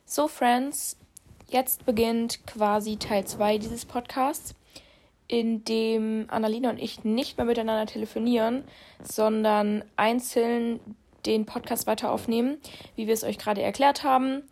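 A young woman who has a cold talks into her phone from her bedroom as a podcast co-host. Her voice sits a bit high, and her talk is easygoing and self-mocking. She rambles, then corrects herself. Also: steady tone, a little slow, darkish tone. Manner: chatty, laughs at herself. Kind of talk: casual chat. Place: alone in her room.

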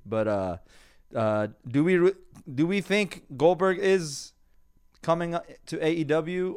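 A man's voice: mid-range voice, clean tone. A man hosting a podcast, chatting loosely and rambling with frequent laughs. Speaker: podcast host. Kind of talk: casual podcast chat.

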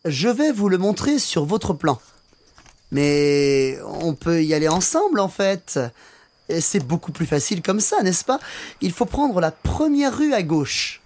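A man's voice: sits high, very animated, moderate pace, a little mellow. A man playing a friendly, obliging Frenchman in a radio play for learners. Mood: friendly, helpful. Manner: chatty, obliging. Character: helpful, friendly Frenchman giving directions to tourists.

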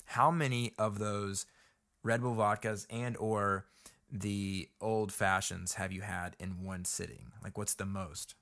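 A man hosting an interview, asking quick icebreaker questions in a chatty, upbeat way. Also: lowish voice, level tone, fairly bright tone. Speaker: podcast host. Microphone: video call.

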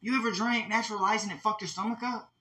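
A man co-hosting a casual podcast, talking in loose, slangy, profane jokes while high. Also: fairly even intonation, fast, sharp tone, echoey room. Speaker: podcast co-host.

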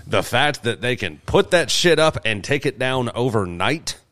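A man's voice: medium pitch, very animated, moderate pace, a bit bright. A man in casual conversation, speaking bluntly and swearing freely. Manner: profane, blunt. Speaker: podcast co-host. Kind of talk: casual chat.

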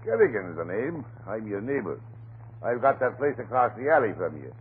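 A man's voice: mid-range voice, very animated, normal speed, natural voice. Folksy old-time radio cop, acted by a man, tinny and noisy. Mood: chatty, folksy. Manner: blustering, garrulous, folksy turns of phrase. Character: folksy, chatty, stubborn off-duty police desk sergeant neighbor. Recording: noisy, narrow-band vintage radio recording.

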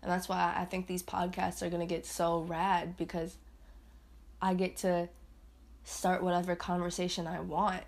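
Rambling, self-mocking young woman, lowish voice. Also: monotone, moderate pace, creaky, darkish tone. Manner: chatty, rambling, laughs at herself; swings into high put-on voices. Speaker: podcast host. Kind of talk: casual solo podcast talk.

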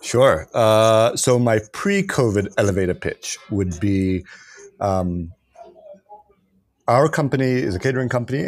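A man's voice: lowish voice, breathy edge. A middle-aged man from Kenya, a podcast guest telling his story in a rambling, casual way with many restarts. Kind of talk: casual interview talk.

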